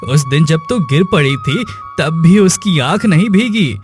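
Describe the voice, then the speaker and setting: Man playing a bewildered farmer, slow speech. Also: fairly high pitch, animated, over music. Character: poor, bewildered farmer, husband and father. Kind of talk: Hindi cartoon moral story acting.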